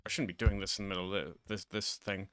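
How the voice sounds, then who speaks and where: Hesitant man with a bright voice. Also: deep voice, fairly even intonation, quick pace, clean tone, no room sound. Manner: hesitant, rambling, self-deprecating. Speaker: online video host. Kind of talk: informal, improvised language lesson.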